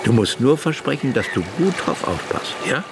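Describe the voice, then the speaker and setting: Gravelly, lively man coaxing a child. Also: mid-range voice, normal speed. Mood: coaxing, kindly. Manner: coaxing, conversational, teasing. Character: teasing, kindly man coaxing a lonely small boy. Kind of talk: radio drama dialogue. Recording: noisy outdoor-like ambience behind.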